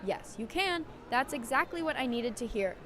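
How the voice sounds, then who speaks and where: A woman as a high-voiced, polite hotel receptionist. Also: animated, moderate pace. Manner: formal, customer-service politeness. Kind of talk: scripted English-lesson radio drama. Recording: busy crowd ambience behind the voice.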